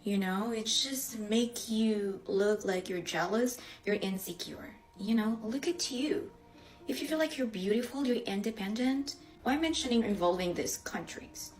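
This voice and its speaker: Filipino woman, Filipino accent, non-native English speaker, exasperated, rhetorical, fairly high voice. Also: measured pace, soft background music. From Philippines. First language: a Philippine language. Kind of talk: ranting video reply.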